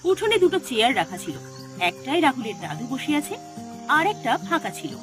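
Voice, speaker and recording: A woman narrates a scripted ghost story over music. Her voice sits fairly high and swings widely as she builds suspense in a dramatic storytelling style. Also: sing-song, moderate pace. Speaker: narrator of an animated horror story. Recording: music behind the voice.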